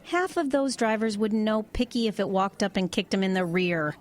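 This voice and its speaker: A woman's voice: mid-range voice, lively intonation, normal speed, lightly acted. An actress playing a blunt, nosy small-town woman in an audio drama, with a pressing, plain-spoken manner. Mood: skeptical, pressing. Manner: pressing, plain-spoken, exasperated folksy banter.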